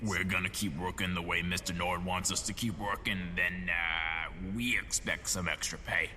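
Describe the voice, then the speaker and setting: An actor playing a gruff, disgruntled warehouse worker in a radio drama, blunt and streetwise in his grumbling. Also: low voice, steady tone, normal speed, bright, forceful tone, slight background noise.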